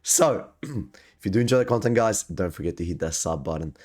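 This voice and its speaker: A man's voice: low voice, lively intonation, a little fast, darkish tone, husky. A man hosting a gaming video, giving upbeat, hyped recommendations with frequent ums while sick and losing his voice. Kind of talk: gaming gear guide commentary.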